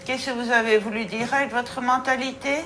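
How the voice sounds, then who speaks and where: A stern woman prosecutor, her voice sitting a little high. She fires pointed questions in an office, with a faint hiss behind her. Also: fairly even intonation, a little fast, a bit bright.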